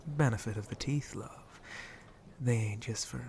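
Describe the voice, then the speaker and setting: A man playing a flirty, roguish shark-man pirate captain in an ASMR roleplay, with a breathy, often whispered voice and teasing pirate speech. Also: a little mellow, a little hiss or hum. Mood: easygoing, instructive. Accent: put-on pirate speech. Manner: slow, teasing, drawling pirate talk with low chuckles. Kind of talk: ASMR roleplay addressed to the listener.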